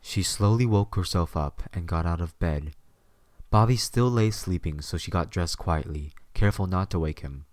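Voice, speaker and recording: A man narrates a scripted story in a low-pitched voice. The recording is close and dry, with hardly any room sound. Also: moderate pace, a little mellow, dry recording.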